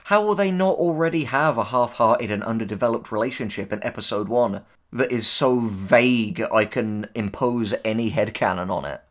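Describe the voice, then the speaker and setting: A man's voice: mid-range voice, normal speed. A man co-hosting a casual reaction commentary, reading posts aloud and answering them with sarcastic, sweary remarks. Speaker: co-host, writer.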